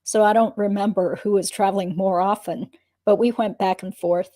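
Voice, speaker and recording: A woman playing a guarded, matter-of-fact witness giving measured answers in a dramatic reading of a deposition. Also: medium pitch, fairly even intonation, dark tone, lightly acted. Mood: guarded, factual.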